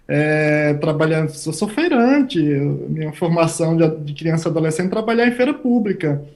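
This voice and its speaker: A man's voice: sits high, a little mellow. Plain-spoken Northeastern Brazilian man in his thirties. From Bahia backlands, Brazil. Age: 30s. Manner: plain-spoken, emphatic, talks in short stacked phrases. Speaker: scientist guest. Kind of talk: interview answers.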